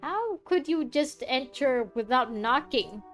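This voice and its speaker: Sarcastic, higher-pitched woman gamer. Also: animated, slow pace, over music. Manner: sarcastic, reacts back at the characters. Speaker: streamer playing a game. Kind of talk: casual gameplay commentary.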